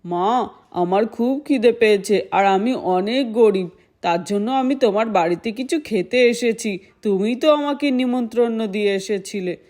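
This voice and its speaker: Woman playing a high-voiced, polite little boy god. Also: a little slow, darkish tone, lightly acted. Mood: pleading. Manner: polite, pleading, earnest. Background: music.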